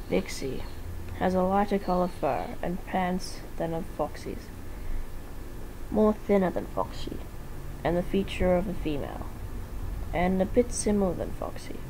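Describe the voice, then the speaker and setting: A woman's voice: medium pitch, a little hiss or hum, poor audio. A woman giving an amateur dramatic reading of a fan fiction story, sometimes stumbling over words and rereading them.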